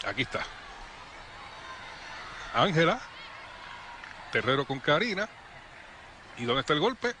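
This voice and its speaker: A man calling play-by-play for a youth football match, brisk and lively on attacks. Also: fairly high pitch, some chatter behind, a little hiss or hum. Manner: brisk, rising excitement on attacks. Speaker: play-by-play commentator.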